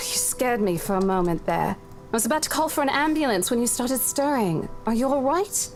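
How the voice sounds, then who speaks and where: Worried, lively young woman. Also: a little high, normal speed, soft background music. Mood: relieved concern. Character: worried, caring young woman checking on her hurt friend. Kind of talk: visual novel voice acting.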